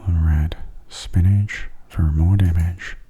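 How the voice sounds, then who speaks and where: A man giving calm, unhurried, soft-spoken ASMR commentary on gameplay, musing aloud in a breathy voice that often nears a whisper. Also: deep voice. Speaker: gaming video host.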